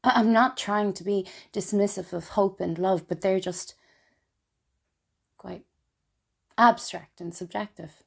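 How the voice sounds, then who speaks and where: A woman acting a melancholy, wryly funny and tipsy character in an intimate, halting theatrical monologue. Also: mid-range voice, fairly even intonation, vocal fry, breathy edge. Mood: wistful, tender. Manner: halting, intimate, dramatic pauses. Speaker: stage actor performing a solo monologue. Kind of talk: confessional theatrical monologue, spoken as a letter.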